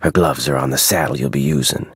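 An actor playing a gruff, guarded head wrangler in a video game, with a terse, folksy American Western drawl. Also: male voice, extremely low pitch, fairly even intonation, quick pace, no echo, studio quality, full character voice. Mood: gruff, instructing. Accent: American Western cowboy drawl. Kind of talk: scripted game dialogue.

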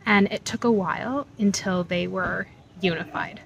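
A woman's voice: mid-range voice, measured pace, vocal fry, highs cut off, a little hiss or hum. A woman in her thirties chatting reflectively as a travel vlogger, filmed on a handheld phone. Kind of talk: casual travel vlog. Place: outdoors at temple grounds. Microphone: phone camera at arm's length.

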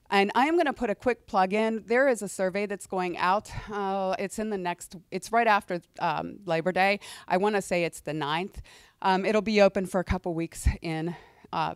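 A middle-aged woman, a university administrator with a cold and a hoarse, failing voice, gives a presentation in a lecture hall into a handheld mic, casual and full of fillers. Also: lowish voice, clean studio sound. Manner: conversational, with fillers and restarts. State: hoarse, losing her voice from a cold. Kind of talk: presentation to student senate. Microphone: handheld microphone.

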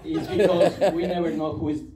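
A man in his thirties from Ecuador with a Spanish accent, doing an animated, self-mocking stand-up set on a club stage with a handheld mic. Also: on the high side, a little fast, heavy background noise. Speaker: stand-up comedian. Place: comedy club stage, indoors. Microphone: handheld mic, filmed from the audience. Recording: roomy club sound taken from far off, loud ambience.

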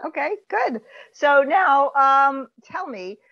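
A woman's voice: high-pitched, lively intonation, slow. A middle-aged woman asking casual interview questions over a dull video call connection.

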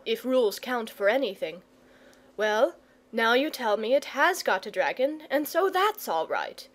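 A self-assured young country boy from a storybook, played by a woman. He is calm and bookish. His high voice stays quiet and matter-of-fact, as if he knows best.

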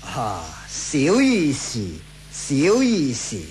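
Very slow, unctuous old Taoist priest. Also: male voice, fairly high pitch, wildly swooping pitch, darkish tone, slight background noise, heavily acted. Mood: obsequious. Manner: unctuous, theatrical, drawn-out. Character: sly, flattering old Taoist priest and sorcerer. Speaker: radio drama actor. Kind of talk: Cantonese radio drama acting, 1980s.

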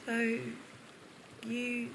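A woman's voice: on the high side, completely flat delivery, extremely slow, noisy background. A woman playing a naive, earnest, lovesick young Victorian sister in an audio drama, speaking hesitantly. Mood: shaken. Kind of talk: period audio drama acting.

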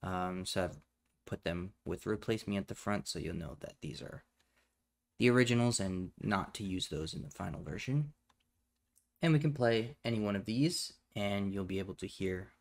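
Low-voiced man giving a tutorial. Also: normal speed. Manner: matter-of-fact, instructional. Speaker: tutorial presenter. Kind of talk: step-by-step software tutorial narration.

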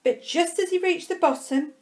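A woman reading a children's storybook aloud expressively, with dramatic pauses and drawn-out words. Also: very high-pitched. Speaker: primary school staff member reading a storybook.

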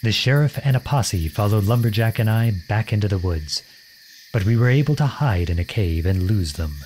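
A man playing an earnest, protective young outlawed prince in an audio roleplay, with a gentle, unhurried, folksy manner. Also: fairly low pitch, animated, slight background noise, natural voice, rough recording. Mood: relieved, reflective. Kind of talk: ASMR roleplay acting.

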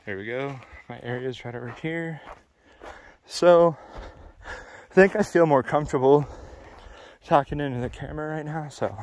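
A man's voice: on the high side, moderate pace, darkish tone, a little hiss or hum. A young man vlogging alone from a cold abandoned cabin at night, nervous and self-deprecating, filming on a handheld camera. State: cold and sleepy. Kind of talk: casual vlog to camera.

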